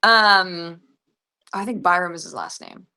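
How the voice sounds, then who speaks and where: A woman's voice: on the low side, unhurried, pushed and bright. A woman chatting casually and enthusiastically as an actress being interviewed.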